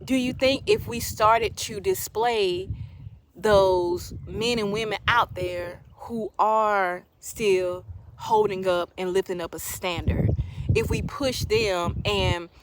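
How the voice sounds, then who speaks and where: A woman's voice: medium pitch, slow, slight background noise. A woman in her late thirties talking casually to her viewers, sharing opinions emphatically and repeating phrases for emphasis. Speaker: livestream host.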